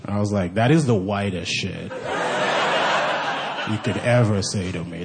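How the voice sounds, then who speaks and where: A man from Haiti with a Haitian accent, performing stand-up comedy with animated delivery and acted-out voices. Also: background noise. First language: Haitian Creole. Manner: animated, acts out other voices with big pitch jumps. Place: comedy club stage with a laughing audience. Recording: live club audience laughter.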